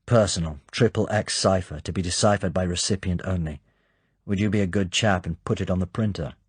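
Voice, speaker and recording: A weary, injured British agent, tired and understated, in a low husky voice. A man reads him close to the mic. The recording is dry. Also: fairly quick, no room sound.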